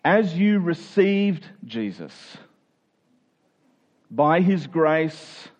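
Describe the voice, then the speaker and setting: A man's voice: on the high side, animated, extremely slow, darkish tone, lo-fi sound. A man preaching a conversational, expository Bible sermon, explaining step by step, repeating key phrases and checking in with his listeners.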